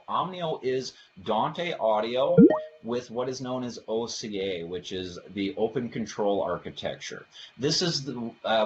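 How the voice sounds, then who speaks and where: Low-voiced man presenting a technical webinar. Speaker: product presenter. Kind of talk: webinar product presentation with slides. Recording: dull lo-fi audio, faint background noise.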